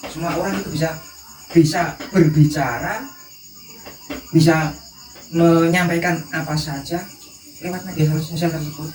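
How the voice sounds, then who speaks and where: Higher-voiced Javanese man, echoey and distant. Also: fairly even intonation, a little slow, long pauses. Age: 30s. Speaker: discussion guest. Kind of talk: informal panel talk. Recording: distant, echoey, noisy recording with insects.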